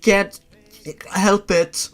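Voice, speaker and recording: A nervous young creature girl, acted out by a man in a raised pitch for a horror story. She is shy and halting, stammering slowly over music. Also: level tone, a bit bright, exaggerated voice. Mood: nervous, polite. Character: nervous, twitchy young female creature with a tail.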